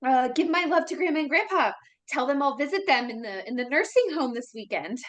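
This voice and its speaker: A woman's voice: high-pitched, lively intonation, a little fast, fairly bright tone. A woman acting a brash, foul-mouthed young woman in a table read of a horror film script. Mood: nervous, scared.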